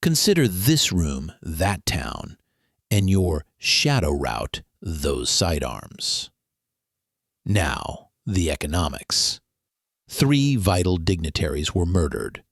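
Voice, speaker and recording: An actor playing a pompous, imperious master detective in a mystery audio drama, with formal, ornate, deliberate diction. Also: male voice, deep voice, big pitch swings, slightly rough, no echo, clean studio sound, exaggerated voice. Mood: pompous, lecturing. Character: pompous, imperious male master detective, coolly precise and lecturing.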